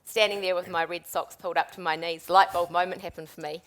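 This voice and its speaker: Kiwi woman, 40s, rapid upbeat event executive, lowish voice. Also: steady tone, a bit bright. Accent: New Zealand. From New Zealand. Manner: brisk, upbeat, promotional. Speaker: panelist, sports event executive. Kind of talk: panel discussion.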